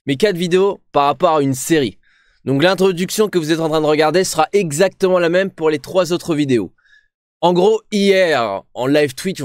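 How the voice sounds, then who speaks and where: Young male streamer, rambling slangy chatter, blunt opinions, slightly high voice, very dry close-mic sound with no room. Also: no room sound. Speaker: streamer reacting to a TV show. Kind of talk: casual slangy reaction commentary.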